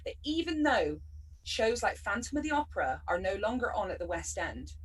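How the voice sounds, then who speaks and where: Woman, West Country accent, stand-up comic, sarcastic, lively pitch, distant video-call mic. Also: a little hiss or hum. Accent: West Country English. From Bristol, England. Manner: chatty, sarcastic, bursts of laughter. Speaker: stand-up comedian.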